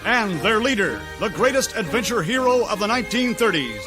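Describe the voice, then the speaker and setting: A man narrates an adventure radio serial with grand theatrical flourish. His voice sits fairly high, and prominent music plays behind his scripted, dramatic delivery. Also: very animated, a little fast. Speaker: announcer and narrator.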